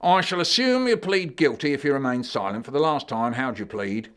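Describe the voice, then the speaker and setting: Brief: a man playing an exasperated blustering teacher as judge, high and loud. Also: lively intonation, brisk, natural voice. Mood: stern. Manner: blustering, exasperated, shouting for order.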